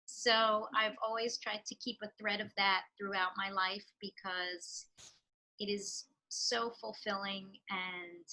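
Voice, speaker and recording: Interview guest: woman with a New York accent, bright and forceful tone, reflective and earnest. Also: medium pitch, fairly even intonation, slow, low-quality recording. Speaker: real estate professional. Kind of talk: interview answers.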